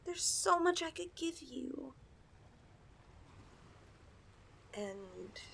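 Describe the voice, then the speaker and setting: A woman's voice: on the high side, vocal fry, slight background noise, exaggerated voice, rough recording. A woman voicing a possessive, flirtatious lamia in a roleplay ASMR style, coaxing her chosen mate with sweet condescension. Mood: seductive.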